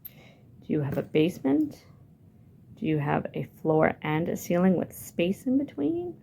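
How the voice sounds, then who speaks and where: A woman's voice: lowish voice, very animated, measured pace, vocal fry, dark tone, a little hiss or hum. A woman children's librarian leading a hands-on building lesson, asking the young viewers lots of encouraging questions. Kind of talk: hands-on craft lesson for kids.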